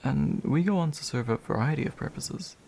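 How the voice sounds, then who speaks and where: A man playing a gentle, slightly bashful demon in a soft, soothing, intimate ASMR comfort roleplay. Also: fairly high pitch, expressive, vocal fry, slight background noise. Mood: calm, explanatory. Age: young adult. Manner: soothing, hushed, unhurried, tender.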